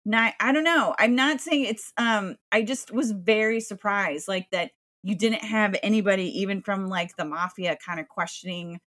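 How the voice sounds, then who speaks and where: A woman's voice: medium pitch, moderate pace, hardly any pauses, a bit bright, no echo. A woman co-hosting a casual film podcast, talking in a rambling way with many fillers. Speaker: podcast co-host.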